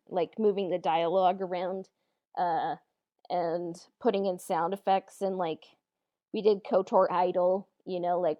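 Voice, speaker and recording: A woman co-hosting a podcast, chatting casually in a halting, filler-heavy way on a slightly dull microphone. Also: medium pitch, dark tone, no room sound. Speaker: podcast co-host and audio drama director. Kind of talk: casual behind-the-scenes chat.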